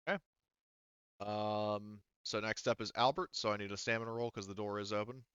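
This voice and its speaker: A man's voice: on the low side, brisk, a bit bright, smooth, no echo, lo-fi sound. A man speaking casually as a tabletop game master, thinking aloud hesitantly as he works through the rules.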